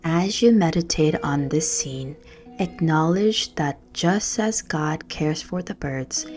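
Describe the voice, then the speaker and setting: Casting: woman meditation guide, low-pitched, gentle and hushed, reading scripted prayer over music. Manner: gentle, soothing, hushed, measured phrasing.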